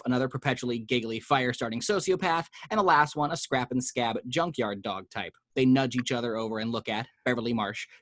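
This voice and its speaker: A man narrating the action lines of a screenplay at home, with vivid, measured delivery. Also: medium pitch, a bit bright, no echo. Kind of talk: screenplay reading.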